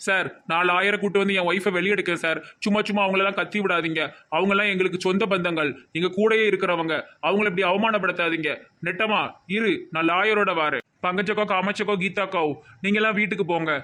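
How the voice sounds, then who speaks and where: A man voicing excitable, fussy village men in a comic cartoon, emphatic, with a Tirunelveli Tamil accent. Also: high voice, fast, hardly any pauses. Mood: exasperated. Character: excitable village men: indignant doctor, devoted newlywed husband.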